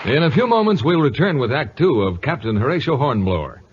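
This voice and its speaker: A man with a husky voice announcing and advertising on a 1950s radio show. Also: mid-range voice, very animated, brisk, a crowd in the background, noisy background. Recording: muffled old radio broadcast recording.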